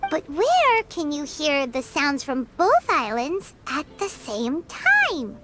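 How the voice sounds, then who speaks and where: A tiny fairy girl for a video game, extremely high and squeaky. The childlike voice is perky and feisty, bouncing around in pitch, with loud game music behind it. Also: huge pitch swings, takes it slowly, exaggerated voice. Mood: curious, perky. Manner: perky, indignant, refers to self by name. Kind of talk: cartoonish video game character acting.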